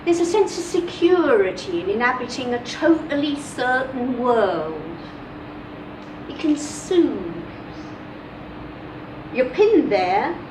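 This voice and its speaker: A woman performs a first-person story in a high voice, expressive and deliberate, leaving measured pauses between phrases. She is recorded at a distance in an echoing room, with clear background noise. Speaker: reader of a short story. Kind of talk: dramatic first-person story reading, live literary performance.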